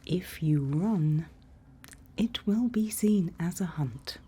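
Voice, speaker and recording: Asmr lady, mellow and whispery, really unhurried, gently explaining stuff in roleplay. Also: lowish voice, animated, husky. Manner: gentle, unhurried, reassuring instruction. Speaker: ASMR roleplay performer playing a night watch guide.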